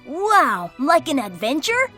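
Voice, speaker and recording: A curious young cartoon kid with a high, bright voice. The delivery swings up and down, wide-eyed, eager and inquisitive. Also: female voice, wildly swooping pitch, takes it slowly, faint music behind, full character voice. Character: eager, curious young child missing mama. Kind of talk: animated film voice acting.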